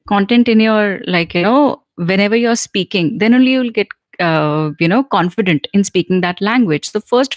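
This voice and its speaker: A middle-aged Indian woman hosts a live stream and answers viewers' questions one after another, in a chatty way with plenty of ums and soft laughs. Her voice sits at a middle pitch. It is recorded close and dry, with no room sound. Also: no room sound. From central India. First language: Punjabi. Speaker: host and soft skills trainer.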